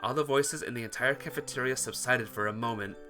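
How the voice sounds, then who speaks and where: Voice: mid-range voice, brisk, bright, forceful tone, faint music behind. A let's player reading a visual novel aloud as dramatic narration, occasionally stumbling and correcting the wording.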